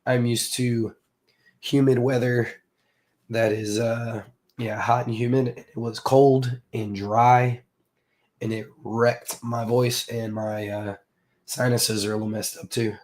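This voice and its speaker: A hoarse man from the southern United States, hosting a casual livestream and chatting with viewers. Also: mid-range voice, level tone, takes it slowly, darkish tone. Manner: rambling, filler-heavy, greets chat viewers. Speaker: crypto livestream host.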